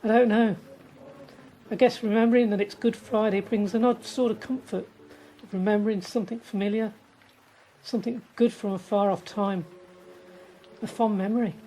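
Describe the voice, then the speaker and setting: An earnest young soldier in a war radio drama, played by a woman. The voice is medium in pitch for a woman and confides haltingly, with light background noise. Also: fairly even intonation, mellow, natural voice. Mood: wistful. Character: earnest, frightened young male soldier, shaken in faith. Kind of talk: radio drama monologue and dialogue. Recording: noisy ambience behind the voice.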